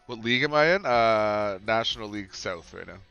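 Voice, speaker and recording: Stuffy-nosed male streamer, low and sharp-edged, relaxed musing with quick excited outbursts. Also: vocal fry, clear, resonant tone, dull audio, faint music behind. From Canada. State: stuffed up with a cold. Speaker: streamer playing a football management game. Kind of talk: casual gameplay commentary.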